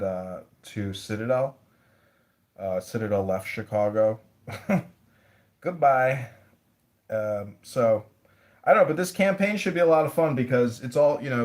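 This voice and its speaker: A man's voice: medium pitch, darkish tone. A man running for office, talking casually in an interview, blunt and rambling, with the odd swear word. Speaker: mayoral candidate.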